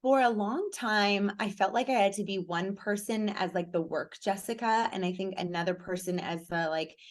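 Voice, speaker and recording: A woman with a raspy, hoarse voice, telling her story openly and with energy as a guest in a conversation. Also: mid-range voice, moderate pace. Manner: energetic, candid, lively storytelling. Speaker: podcast guest, speaker and coach. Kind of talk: interview conversation.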